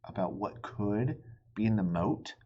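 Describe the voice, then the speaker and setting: A man's voice: fairly low pitch, unhurried, darkish tone, highs cut off, a little hiss or hum. A man reading a children's storybook aloud with dramatic, suspenseful expression. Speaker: storybook reader.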